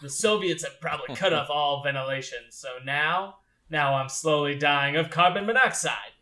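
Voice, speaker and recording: Guy playing a sarcastic panicky dude losing it in a comedy skit, pretty high voice, dramatic and fed up. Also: moderate pace, sharp tone. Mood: paranoid ranting. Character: bewildered human man losing his grip, sarcastic, panicky.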